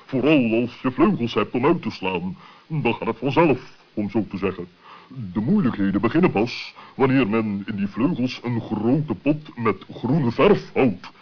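Fussy, indignant talking owl, husky voice, dull old radio recording. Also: male voice, mid-range voice, animated, a little slow. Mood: skeptical. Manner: wordy, hesitant, repeats himself. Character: fussy, indignant male owl, wordy and stubborn. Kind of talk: children's radio play acting.

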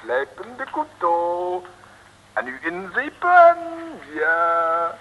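An actor playing a theatrical, menacing French barber with a French accent and broken Dutch in an old radio comedy-thriller. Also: male voice, on the high side, wildly swooping pitch, drawn-out words, muffled recording, heavily acted. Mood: effusive. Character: flamboyant, menacing French barber, secretly an agent. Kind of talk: 1960s radio serial acting. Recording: reverberant, noisy old radio recording.

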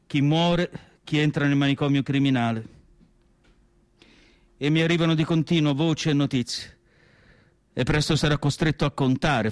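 An actor playing an earnest, melancholy asylum doctor in a theatrical radio monologue, speaking in deliberate, emphatic phrases with weighty pauses. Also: male voice, mid-range voice, dry recording, clean studio sound, natural voice. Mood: grave, anguished. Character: earnest, melancholy male asylum doctor recalling his life's work.

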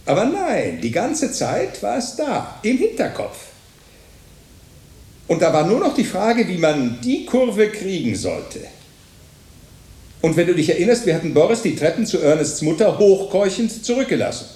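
An actor in a German radio drama playing a digressive, self-aware storyteller who addresses the listener. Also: male voice, high voice, wildly swooping pitch, moderate pace, dark tone. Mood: animated, digressing. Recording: echoey room.

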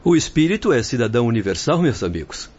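An actor playing a benevolent, philosophical spirit doctor in a radio drama, speaking in a formal, declamatory way. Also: male voice, medium pitch, big pitch swings, fast, a little mellow, slightly rough. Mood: solemn teaching. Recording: dull lo-fi recording.

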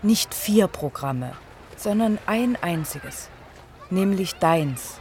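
A woman's voice: fairly low pitch, expressive, slow, a little mellow. Challenging young woman, faint background noise. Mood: probing. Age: young adult. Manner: pointed, probing, ironic. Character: challenging, teasing young student lifeguard in her twenties. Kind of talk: German radio drama dialogue.

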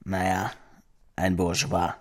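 An actor playing a combative, scornful young communist worker in a radio drama. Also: male voice, deep voice, completely flat delivery, slow pace, slight background noise. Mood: sneering. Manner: sneering, combative. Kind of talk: German radio drama acting.

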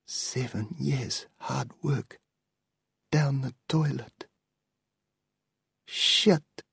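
A man's voice: fairly high pitch, expressive, slow pace, warm tone, no room sound, studio quality, voice pushed up. A man doing a stunned, disbelieving man. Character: grown man, stunned and bitter.

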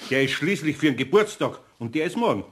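A man playing a patient, gently amused older craftsman with a homely Bavarian accent and husky voice in a German radio play. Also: on the high side, animated, fast talker, slight background noise. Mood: firm, amused. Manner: homely, indulgent, dialect-speaking.

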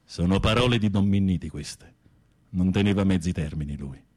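Husky, low-voiced theatrical prisoner. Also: male voice, a little mellow. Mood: probing, grave. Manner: theatrical, sardonic, with drawn-out musing. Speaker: radio drama actor. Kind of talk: Italian radio theatre acting.

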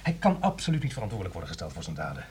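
A man playing an anxious, fretful friend of a suspect in a Dutch radio play, hurried and agitated, repeating himself. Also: deep voice, wildly swooping pitch, dark tone, slight background noise, heavily acted.

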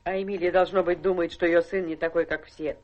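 A woman's voice: lowish voice, steady tone, fairly quick, darkish tone, highs cut off, close to an everyday voice. Actress as a weary, reproachful elderly mother. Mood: bitter reproach. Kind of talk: Russian radio drama stage acting.

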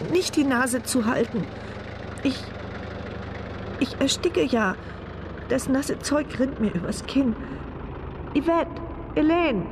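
A woman's voice: on the high side, noisy background. An actress playing a trapped wheelchair user, narrating her terrified inner thoughts in fragments in a radio thriller. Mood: panicked, choking. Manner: tense, fragmented first-person present-tense narration. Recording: music bed behind voice.

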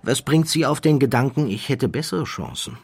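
A man's voice: medium pitch, lively intonation, moderate pace, gravelly, husky, pitched above the performer's own voice. A man voicing a modest, reserved aristocratic card player with a polite, formal manner in an audiobook. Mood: sceptical. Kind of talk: audiobook character dialogue.

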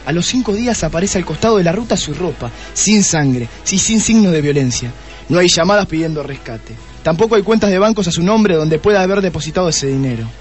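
A man's voice: high voice, animated, brisk, soft background music. A man playing an impatient, brooding police detective in a comic radio play, exasperated and bossy, with an Argentine accent.

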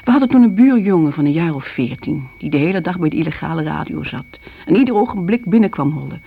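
A woman's voice: deep voice, lively intonation, fast talker, creaky, slightly rough, exaggerated voice. An actress playing a middle-aged mother in a radio play, reminiscing in a chatty, confiding way, fond yet reproachful. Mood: nostalgic, earnest. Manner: chatty, confiding, seeking agreement. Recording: muffled old radio recording, faint hiss.

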